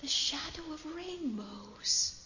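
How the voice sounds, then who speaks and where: An actress playing a desperate, defiant bereaved weaver in a fantasy audio drama, speaking with slow, impassioned, formal diction. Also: female voice, high voice, animated. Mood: hushed, secretive. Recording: dull, noisy, echoey hall with long reverb.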